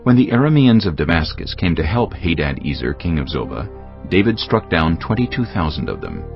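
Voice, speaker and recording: A man with an extremely deep, creaky voice narrates scripture. His delivery is solemn and deliberate yet moves widely in pitch, like a storyteller reading a script. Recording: dull lo-fi audio over music.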